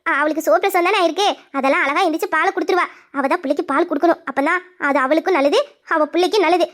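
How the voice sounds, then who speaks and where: A woman voices a reassuring sister-in-law in a melodramatic audio play. Her speech is rapid and high, with a practical, coaxing, motherly manner. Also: a bit bright. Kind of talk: melodramatic Tamil audio drama.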